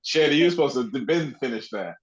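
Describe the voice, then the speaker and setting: A man's voice: medium pitch, expressive, quick pace, highs cut off. A man chatting casually as a podcast co-host. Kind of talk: casual chat. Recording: roomy, echoing sound.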